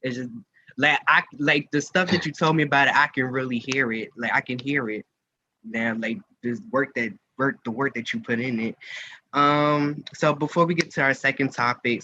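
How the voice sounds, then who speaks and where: A man's voice: medium pitch, fairly even intonation, normal speed, bright, forceful tone. Muffled casual male radio host. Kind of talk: casual interview chat. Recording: muffled lo-fi audio with background noise.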